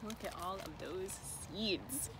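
A woman reading a picture book to children, expressive and deliberate, with chatty asides. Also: mid-range voice. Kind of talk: read-aloud with chatty asides. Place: outdoors in a sunny farm garden. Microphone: camera held by another person nearby. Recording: outdoor ambient noise.

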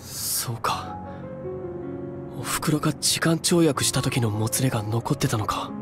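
An earnest, mild young man, hero of an anime game, played by a male actor, in a medium male pitch with music clearly behind him. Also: measured pace, natural voice. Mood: pensive. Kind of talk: Japanese anime game voice acting.

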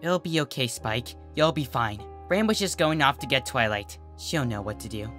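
A narrator voicing an excitable, caring young female pony prankster with a bubbly, emphatic manner. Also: mid-range voice, normal speed, faint music behind, close to an everyday voice. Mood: comforting.

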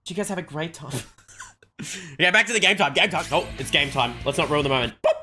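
Young man, game streamer, bright forceful voice, lively swings in pitch, excitable short exclamations. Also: fast talker, soft background music. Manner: excitable, repeats short catchphrases. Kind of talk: excited livestream gaming chatter.

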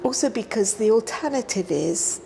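A middle-aged woman who grew up in London, explaining environmental work in a relaxed sit-down interview, earnest and measured. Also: on the high side, creaky, a little hiss or hum. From South Africa, raised in London. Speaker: environmental scientist, interview guest. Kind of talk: conversational interview. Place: hotel lounge, seated on a sofa indoors.

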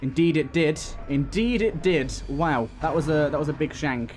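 A man with a slightly high voice talks casually through a video game, reasoning out each tactic aloud as he goes. Music plays behind him. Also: moderate pace, a little mellow. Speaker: gaming channel host. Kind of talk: casual gameplay commentary.

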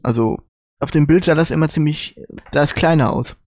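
A man's voice: fairly high pitch, moderate pace, darkish tone, no room sound, studio quality. A man co-hosting a casual panel chat about audio dramas, hesitantly searching for his words as he gives opinions. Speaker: podcast co-host.

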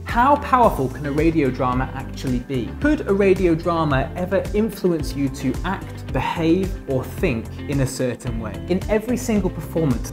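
A man in his thirties teaching a drama lesson to camera in an animated, playful way, full of rhetorical questions. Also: mid-range voice. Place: living room with brick fireplace and piano, indoors.

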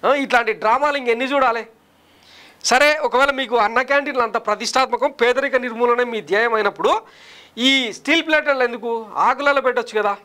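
A man in his thirties delivers scripted political commentary from a studio, his voice pitched high above most men. He speaks quickly with a sarcastic, combative edge, piling up rhetorical questions. The sound is close and clean from a lapel mic. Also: bright, forceful tone, smooth. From Andhra Pradesh, India. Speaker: political news presenter. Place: studio in front of a large screen.

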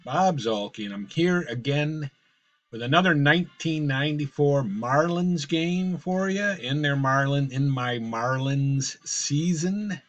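A man calling a simulated baseball game in casual play-by-play, his voice slightly raspy from a cold, rambling and correcting himself as he goes. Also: on the high side, animated, takes it slowly, muffled recording. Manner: rambling, with fillers and self-corrections. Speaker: host playing a baseball sim.

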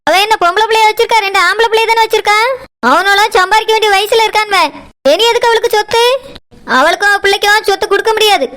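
A woman's voice: very high voice, fairly even intonation, quick pace, bright, forceful tone, a little hiss or hum. A woman playing a selfish, hard-hearted daughter-in-law in a family drama skit, curt and dismissive, with a southern Tamil Nadu accent. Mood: dismissive anger. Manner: scolding, dismissive.

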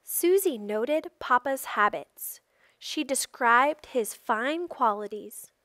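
A woman reading a children's picture book aloud expressively, with deliberate phrasing and emphatic stresses. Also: fairly high pitch, fairly bright tone, no echo, clean studio sound. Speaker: storybook reader.

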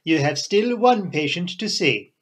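Guy reading does a genial fussy detective, florid formal wording, pitched up and husky. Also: expressive, unhurried, fairly bright tone, exaggerated voice. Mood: genial, sly. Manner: florid formal foreign-flavoured phrasing. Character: fussy foreign male detective, genial and sly. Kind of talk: audiobook character voice.

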